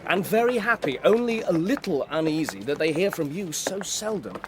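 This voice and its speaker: An eager, affectionate young gentleman, played by a male actor in a period audio drama. His voice is high and very lively, with courteous, effusive phrasing. Noticeable ambient noise surrounds him. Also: normal speed. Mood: gently concerned.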